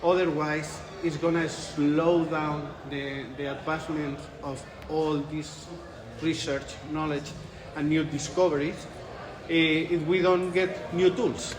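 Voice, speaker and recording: A man's voice: on the high side, level tone, slow pace, crowd noise. Spanish-accented man, echoey conference room. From Spain. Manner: thoughtful, restarts phrases, laughs lightly. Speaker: interviewed researcher. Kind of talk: conference interview. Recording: echoey room with light ambience.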